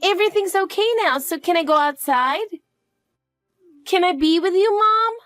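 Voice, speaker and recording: A woman's voice: very high voice, moderate pace, a bit bright, no room sound, clean studio sound, faint music behind, voice pushed up. A woman voicing a frightened little girl who clings to her mother with pleading questions. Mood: eager. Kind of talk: dramatic dialogue.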